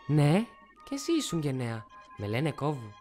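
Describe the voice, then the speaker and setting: A woman voicing a cocky, streetwise young male lion cub in an animated film fan dub, teasing and swaggering. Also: low-pitched. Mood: friendly. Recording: music under the voice. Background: film music.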